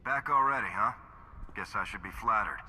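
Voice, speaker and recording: An actor playing a tough, guarded soldier hero in a game cutscene, husky and forceful. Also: male voice, fairly low pitch, brisk, full character voice. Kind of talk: dramatic game cutscene acting. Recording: long reverb with faint music.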